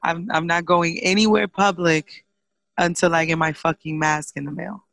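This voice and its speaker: A woman with a raspy, slightly hoarse voice, co-hosting a casual, profane podcast from inside a car. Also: on the low side, fairly even intonation, moderate pace, fairly bright tone, dry recording. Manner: profane, laughing, easygoing. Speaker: podcast co-host.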